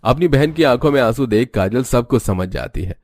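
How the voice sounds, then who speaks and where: A man's voice: medium pitch, animated, fairly quick, darkish tone, no echo, clean studio sound. A man narrating a scripted cartoon family story.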